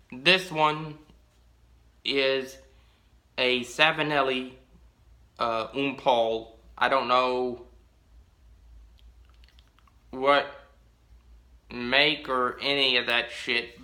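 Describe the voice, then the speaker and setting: A young man talks slowly and loosely to a fixed camera in a small home room, trailing off into ums and restarts. His voice is bright and forward. The room gives it a noticeable echo. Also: medium pitch. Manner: rambling, drawn-out, many ums. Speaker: pipe-collecting vlogger. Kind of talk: casual show-and-tell.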